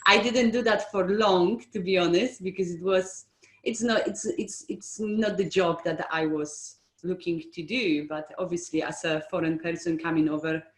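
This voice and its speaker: A woman's voice: on the low side, fairly even intonation, moderate pace. Frank woman with a foreign accent, roomy sound. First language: not English. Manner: open, frank, frequent ums and restarts. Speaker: estate agency owner, guest. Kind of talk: interview, telling her career story.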